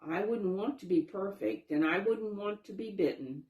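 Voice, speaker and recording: A woman voicing a brisk, sensible female animal who bakes, speaking in a scolding, no-nonsense way for a children's story. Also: mid-range voice, lively intonation, a little mellow, voice pushed up, natural voice. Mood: matter-of-fact. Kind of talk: children's storybook read-aloud. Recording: roomy, echoing sound.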